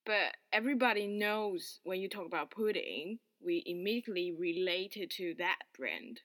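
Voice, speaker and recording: A woman from Taiwan with a Taiwanese accent, co-hosting a casual food podcast and talking in quick bursts while searching for words. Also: lowish voice, fairly bright tone, dry recording, rough recording. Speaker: co-host. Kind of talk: casual chat.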